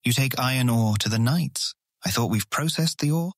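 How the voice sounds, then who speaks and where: An actor playing an earnest, awkward and easily charmed guild guard in a fantasy audio drama, eager to please. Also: male voice, mid-range voice, normal speed, no echo, clean studio sound, close to an everyday voice. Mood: curious.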